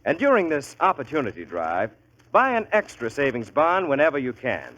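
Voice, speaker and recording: A man's voice: medium pitch, huge pitch swings, normal speed, fairly bright tone. An American man announcing on 1940s radio, reading scripted copy in an emphatic, upbeat way, on an old muffled broadcast.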